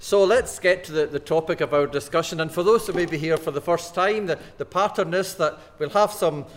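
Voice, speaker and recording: A man's voice: on the high side, a little fast. A man moderating a church panel discussion, genial and joking, often restarting his phrases. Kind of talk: hosting a church discussion evening.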